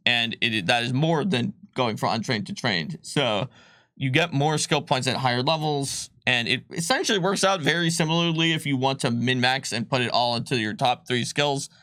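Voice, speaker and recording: A man casually explaining tabletop game rules on a live stream, chatty, with fillers and quick laughs. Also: mid-range voice, bright, forceful tone, no room sound, clean studio sound. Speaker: game designer presenting a rulebook on a live stream.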